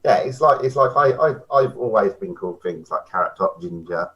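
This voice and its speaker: Brief: deep-voiced man, casual guest chat, stop-start, dull lo-fi recording. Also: animated, a bit bright. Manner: halting, repeats words when starting. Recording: dull lo-fi sound.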